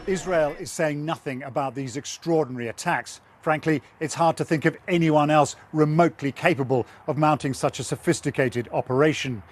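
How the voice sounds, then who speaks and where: A man's voice: on the high side, slight background noise. Sombre male news reporter.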